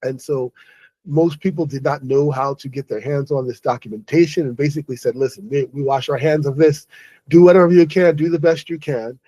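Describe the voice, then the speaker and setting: A tired middle-aged man talks slowly to his viewers. He stops and restarts often. His voice sits a little high for a man, with a mellow, warm tone, and the room sounds dry and close. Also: steady tone, dry recording, poor audio. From New York City. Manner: halting, many restarts and self-corrections. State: weary after a stressful day. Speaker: online English host. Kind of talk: casual monologue to viewers.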